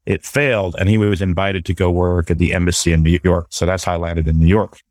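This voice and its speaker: Earnest guy from brooklyn, lowish voice, dry close sound, bit of music. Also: little pitch movement, a little fast, a little mellow, no room sound. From born in Barbados, raised in Brooklyn. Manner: upbeat, earnest, repeats words as he starts. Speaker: guest, sustainability advisor. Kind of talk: casual interview talk. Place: Williamsburg, Brooklyn, remote call.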